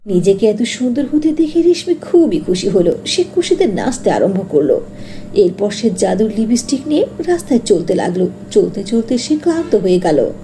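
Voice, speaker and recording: A woman's voice: medium pitch, sing-song, moderate pace, dark tone, slight background noise. A woman narrating a scripted fairy tale for a cartoon.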